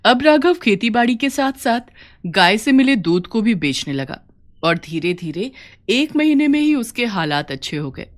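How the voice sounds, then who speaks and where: Animated woman storyteller, swooping intonation. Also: on the high side, moderate pace. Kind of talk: scripted Hindi folk tale narration for a cartoon.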